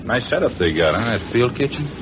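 A man's voice: mid-range voice, sing-song, a little fast, natural voice. An American man playing a plain-spoken detective's partner in a 1950s radio police drama, casual and understated. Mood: casual, weary. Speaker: radio drama supporting actor. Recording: noisy, muffled vintage radio recording.

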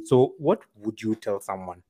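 A man hosting a podcast, asking questions in a thoughtful, gently probing way. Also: fairly low pitch, lively intonation, normal speed, no echo. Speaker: podcast host. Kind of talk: interview questions.

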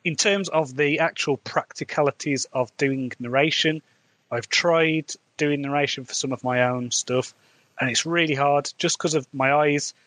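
A man's voice: a little high, fairly even intonation, moderate pace, a bit bright, no room sound. A man with an English Midlands accent, an author hosting his own podcast, talking casually and candidly with a rambling, chatty manner. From England. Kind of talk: casual solo podcast chat.

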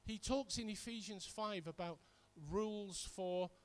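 High-pitched British man preaching. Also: unhurried, clear, resonant tone. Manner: conversational storytelling with emphatic repetition. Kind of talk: sermon with personal anecdotes.

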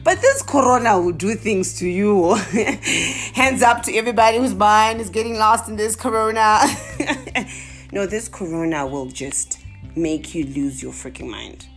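A young woman from Zimbabwe with a Zimbabwean accent, telling comic stories to her viewers in a casual, animated way with exclamations. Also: mid-range voice, a little slow, faint music behind. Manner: animated, exclaiming, laughing often.

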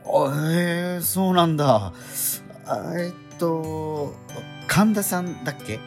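A man's voice: on the high side, expressive, slow, vocal fry, a little mellow, faint music behind, pitched above the performer's own voice, heavily acted. A man playing a polite, curious teenage transfer student in a radio drama, with apologetic, puzzled interjections. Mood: shy, friendly. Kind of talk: conversational radio drama acting.

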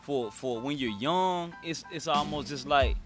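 Stammering man with a high-ish voice. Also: measured pace, music in the background. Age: 30s. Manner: stammers and repeats words, calls his partner uncle. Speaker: podcast co-host. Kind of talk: casual podcast chat.

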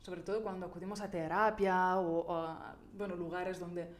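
A woman's voice: lowish voice, flat delivery, moderate pace. Woman, hesitant and explanatory. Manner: thinks aloud, hesitant, with many fillers and restarts. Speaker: podcast co-host. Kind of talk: casual chat explaining research.

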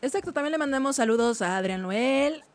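A woman with a Mexican accent co-hosting a casual live talk show, bantering and arguing with lots of quick protesting interjections. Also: sits high. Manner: animated, argumentative, protesting with repeated interjections.

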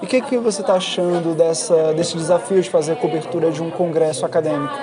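A man's voice: a little high, steady tone, quick pace. A Brazilian man working as an interviewer, asking questions in a casual field style. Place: congress venue with crowd. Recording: busy event crowd behind the voice.

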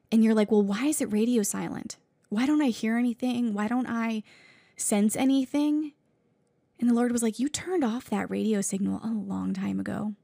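A woman's voice: on the high side, creaky, a little mellow, dry recording, studio quality. A woman preacher giving an earnest, fervent sermon in a conversational way, with asides to her listeners.

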